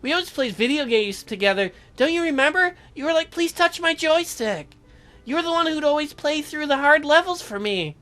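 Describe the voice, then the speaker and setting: Teenage girl game heroine, as a let's player puts on: chirpy, flustered, halting, lifted sharp voice. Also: sits high, expressive, faint music behind, pitched above the performer's own voice. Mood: flustered, pleading.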